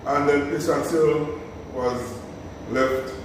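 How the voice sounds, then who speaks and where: A man's voice: a little high, slow. Tobagonian man in his fifties, roomy echo. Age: 50s. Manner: animated storytelling with tag questions. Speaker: guest, community sports organizer. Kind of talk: conversational interview. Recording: echoey room from far off with noise.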